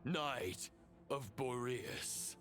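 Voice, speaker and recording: An actor playing a grave, coldly convinced fallen knight phantom in a video game, speaking in measured, formal phrases. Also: male voice, mid-range voice, expressive, music in the background. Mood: solemn, grave. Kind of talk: dramatic video game acting.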